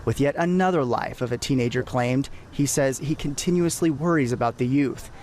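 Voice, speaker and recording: A man's voice: mid-range voice, moderate pace, darkish tone, a little hiss or hum. A man with an American accent reading scripted news narration as a television reporter.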